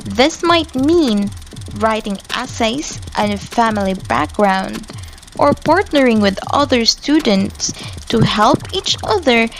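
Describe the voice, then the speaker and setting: A woman's voice: fairly high pitch, animated, takes it slowly, slight background noise, rough recording. A woman reading scripted educational narration about points of advice, as a presenter.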